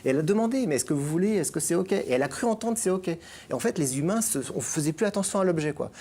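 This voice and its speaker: A man's voice: on the high side, animated, very fast, dark tone. A middle-aged man from France, talking as a guest columnist in a radio chat, hesitant with many fillers and restarts. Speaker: guest columnist on digital topics.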